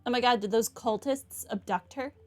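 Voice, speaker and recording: A woman's voice: fairly high pitch, normal speed, faint music behind. A woman giving casual, chatty, informal commentary as she plays through a video game. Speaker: gaming video host.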